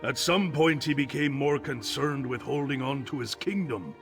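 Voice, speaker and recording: A man's voice: mid-range voice, moderate pace, gravelly, lightly acted. An actor playing an earnest male guard commander in a video game, with background music. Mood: grave. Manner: earnest, grave, soldierly. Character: male city guard commander, steady and loyal.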